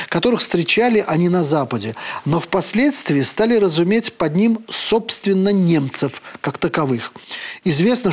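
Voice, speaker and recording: A man with a fairly high voice lectures earnestly as the host of a program. He pauses often with hesitant fillers. He drops into a slow, solemn delivery whenever he reads a quoted passage aloud. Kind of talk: lecture-style talk on words, reading quotations aloud.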